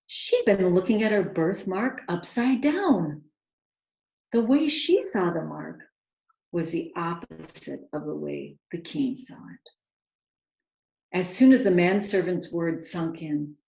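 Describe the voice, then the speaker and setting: Storybook narrator, woman, unhurried and earnest, fry in the voice, roomy sound. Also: lowish voice, a little mellow. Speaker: children's author reading aloud. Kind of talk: expressive storybook read-aloud narration. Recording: roomy, echoing home recording.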